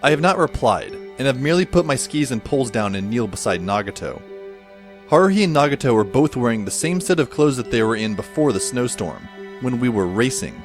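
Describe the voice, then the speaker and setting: A man's voice: mid-range voice, sing-song, fairly quick, faint music behind, lightly acted. A man playing a bewildered, wry teenage schoolboy who narrates an audio drama in a wordy, dramatic way. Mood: wry, watchful. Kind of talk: scripted first-person audio drama narration.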